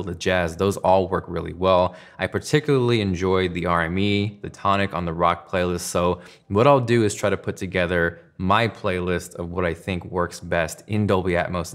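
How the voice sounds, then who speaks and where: A man with a deep voice hosts a tech review. He speaks to the camera in an enthusiastic, emphatic way, as someone who is explaining gear from a studio desk. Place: indoor studio room at a desk.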